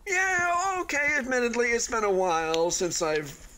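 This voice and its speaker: A man's voice: very high voice, very animated, bright, forceful tone, a little hiss or hum, exaggerated voice. A man in a radio play voicing an unfinished hotel building, proudly deluded and eagerly optimistic, with a theatrical delivery that halts and then gushes. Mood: upbeat, hopeful. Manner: theatrical, halting then gushing, swooping emphasis.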